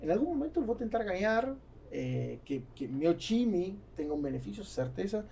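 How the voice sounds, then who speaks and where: Gravelly man, halting speech. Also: high voice, big pitch swings, dark tone, a little hiss or hum. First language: Spanish. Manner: halting, searching for words. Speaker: podcast guest, businessman. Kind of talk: conversational interview answers. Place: small foam-lined podcast studio. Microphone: studio microphone on boom arm.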